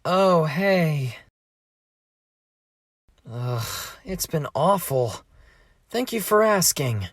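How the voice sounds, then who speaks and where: A man's voice: fairly high pitch, sing-song, drawn-out words, pauses often, husky, no room sound, clean studio sound, exaggerated voice. A man voicing an anxious, whiny thirteen-year-old boy in an amateur fandub, exasperated and hesitant. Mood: worried.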